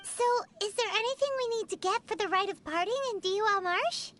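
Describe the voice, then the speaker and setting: A woman's voice: very high-pitched, brisk, fairly bright tone. A woman voicing a tiny, childlike floating girl companion in a video game, chirpy and blunt, exclaiming in the third person. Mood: curious.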